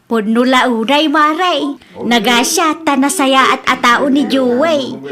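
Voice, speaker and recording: A woman's voice: high-pitched, takes it slowly, crowd noise. A woman playing a proud, gossipy middle-aged village mother with an Ilocano accent in a radio comedy drama.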